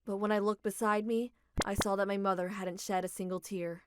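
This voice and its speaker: A young adult woman narrating a manga dub story in a steady, reflective reading. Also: medium pitch, a little fast, slight background noise.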